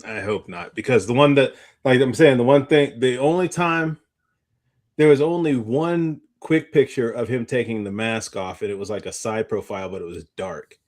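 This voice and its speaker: A man's voice: mid-range voice, moderate pace, darkish tone. A man chatting casually about movies as a podcast co-host, rambling and often trailing off mid-thought.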